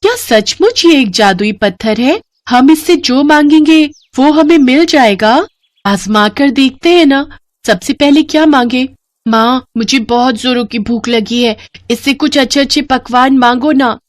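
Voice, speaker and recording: Young storybook daughter, played by a woman: raised pitch, sweet, eager, full of wide-eyed excitement. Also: measured pace, no echo, studio quality. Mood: excited. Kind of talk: Hindi moral storybook acting.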